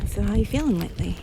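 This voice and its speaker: A woman's voice: medium pitch, creaky. A woman chatting casually and intimately, as if to a partner, in a rambling, hesitant, giggly way while out on a bike path. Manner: giggly, hesitant, trailing phrases. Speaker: audio roleplay creator playing a loving girlfriend. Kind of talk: casual rambling chat, intimate one-sided companion talk. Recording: noisy outdoor ambience, passing vehicles. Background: traffic and environmental noise.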